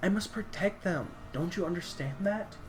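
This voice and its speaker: A man voicing a young female warrior student who speaks earnestly and pleads with her teacher, his voice lifted higher than his own. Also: normal speed, over music, natural voice. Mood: urgent pleading. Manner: pleading, raised pitch.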